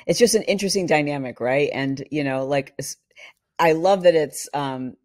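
A middle-aged woman co-hosting a casual podcast, her voice husky from a vocal cord injury, telling stories and acting out quotes. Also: low-pitched, moderate pace. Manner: animated storyteller, acts out quotes. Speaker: podcast co-host. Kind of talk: casual chat. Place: home studio. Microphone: studio mic on boom arm.

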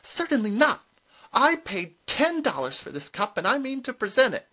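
A narrator voicing a haughty, brittle female witch with a shrill, indignant manner. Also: huge pitch swings, a little fast, slightly rough, full character voice. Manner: forced brightness, indignant.

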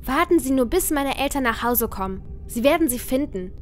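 A woman's voice: lively intonation, brisk, faint music behind. A woman voicing a frightened young girl, nervous and defensive. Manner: high, shaky and defensive.

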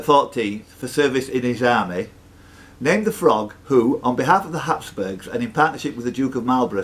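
A man hosting a live quiz, reading out questions and scores and teasing the contestants. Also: medium pitch. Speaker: quiz host and question master.

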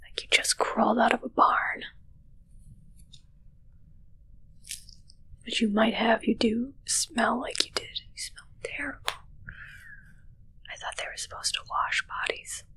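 A young woman playing a haughty, morbidly playful witch in an ASMR roleplay, whispering slowly and breathily in a musing, gently critical way close to the mic. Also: on the high side, fairly even intonation, breathy edge, heavily acted, rough recording. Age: young adult. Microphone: close microphone, seen at close range.

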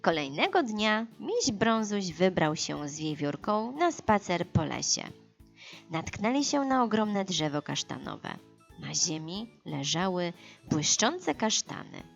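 Unhurried, very expressive woman storyteller. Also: on the low side, creaky, lo-fi sound, soft background music. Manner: expressive, unhurried, dramatized for children. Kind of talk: scripted children's audiobook narration.

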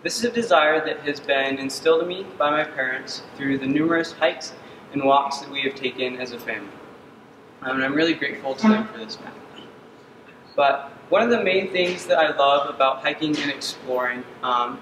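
A man's voice: medium pitch, normal speed. Young man speaking far off in an echoey hall. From Uganda. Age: young adult. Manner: earnest, frequent ums. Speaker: student presenter. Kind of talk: senior capstone presentation. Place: dark stage in a hall. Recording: distant echoey hall with ambient noise.

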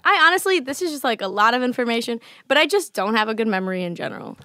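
A woman in her twenties, a singer, talking candidly in a casual podcast interview. Her voice is slightly raspy, and she talks in an animated way and laughs often. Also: a little high, racing, no echo, clean studio sound. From United States. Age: 20s. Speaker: guest singer. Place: small studio with colored lights and a table. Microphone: studio mic on a desk stand.

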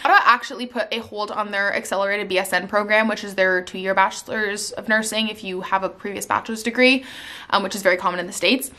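A woman's voice: mid-range voice, fairly even intonation, fast, sharp tone. A young woman from Canada chatting casually on her vlog, with lots of "like" and "um", sitting on her living room sofa. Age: young adult. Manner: chatty, many "like"s and "um"s. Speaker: vlogger. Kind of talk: casual life-update vlog. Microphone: camera in front, seated.

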